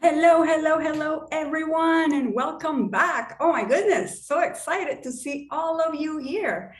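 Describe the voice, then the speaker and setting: A woman's voice: high voice, measured pace, reverberant. A woman with a Spanish accent hosting an online workshop, chatty and enthusiastic with lots of fillers. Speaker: workshop host and coach.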